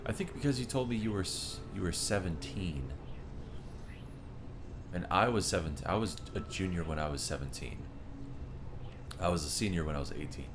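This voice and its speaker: A man's voice: low-pitched, normal speed, pauses often, over music. A man in his thirties chatting casually with viewers on a live game stream and reading their messages aloud. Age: 30s. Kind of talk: casual live-stream chat while gaming.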